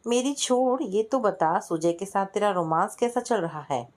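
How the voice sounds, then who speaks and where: A woman's voice: mid-range voice, expressive, normal speed, a little mellow, natural voice. A woman voicing a playful young engaged cousin in a family story, bantering in a breezy, joking way.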